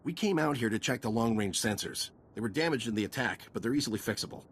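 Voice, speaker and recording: An actor playing a gloomy, dutiful male soldier in a video game, husky and dryly serious. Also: mid-range voice, animated, fast talker, slight background noise, close to an everyday voice. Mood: grim, dutiful. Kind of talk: scripted video game cutscene acting.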